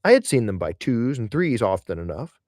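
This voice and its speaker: A man's voice: medium pitch, very animated, normal speed, a little mellow, no room sound, studio quality. A man reading literary essays aloud as an audiobook narrator, with theatrical, emphatic phrasing. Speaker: audiobook reader.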